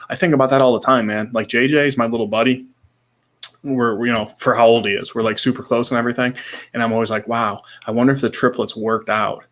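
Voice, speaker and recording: A man's voice: medium pitch, steady tone, quick pace, fairly bright tone. A man in his thirties co-hosting a casual podcast, talking in a laid-back, slangy way with short repeated reactions, sometimes reading out comments. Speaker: podcast co-host.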